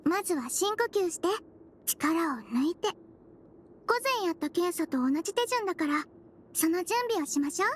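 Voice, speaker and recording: A woman voicing a small, sweet head nurse in an anime game, girlish and gentle, with reassuring phrasing. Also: very high-pitched, animated, moderate pace, slight background noise. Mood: calm, reassuring.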